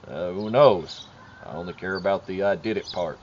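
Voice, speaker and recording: A man's voice: low voice, normal speed, darkish tone, close to an everyday voice. A man playing a shaken, weary suburban neighbor, blunt and resigned, in an audio drama. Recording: muffled, clearly noisy outdoor sound. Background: outdoor ambience with birdsong.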